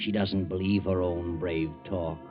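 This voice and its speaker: An actor playing a sinister, mocking, all-knowing narrator, ominous and dramatic in 1940s radio style. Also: male voice, sits low, little pitch movement, unhurried. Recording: muffled 1940s radio recording. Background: loud music.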